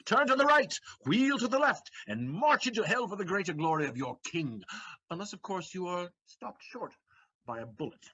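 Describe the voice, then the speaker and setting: A swaggering, shrewd manservant acted by a man speaks in a high, husky voice that swings widely as he declaims. He is mocking and eloquent in the manner of a stage comedy, heard on a slightly dull audio play recording. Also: very animated, normal speed, sharp tone, heavily acted. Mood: teasing, mock-martial. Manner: declamatory, mocking, dramatic emphasis.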